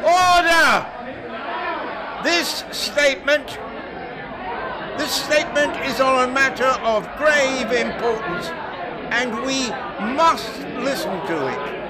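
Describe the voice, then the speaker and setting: A man playing a stern parliamentary Speaker in a radio drama, loudly calling for order in a noisy chamber. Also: very high voice. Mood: commanding. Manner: loud, drawn-out, commanding. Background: noisy crowd in a chamber.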